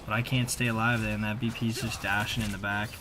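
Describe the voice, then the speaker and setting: A man giving casual, slangy voice-over commentary on gameplay, conversational with animated reactions. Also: on the low side, a little fast, background noise. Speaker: gaming video creator.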